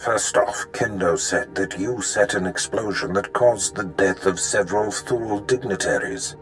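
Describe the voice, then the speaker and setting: A low-voiced villain lord for a cinematic game. He is a Sith master, menacing and calculating, speaking with measured, formal authority over music. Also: fairly bright tone, rough recording. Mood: cold, commanding.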